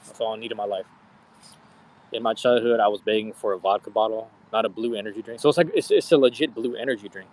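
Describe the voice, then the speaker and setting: A man's voice: mid-range voice, poor audio. A man in his thirties chatting casually with his viewers in a slangy, profane, rambling way. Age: 30s. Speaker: streamer. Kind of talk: casual live-stream chat with viewers.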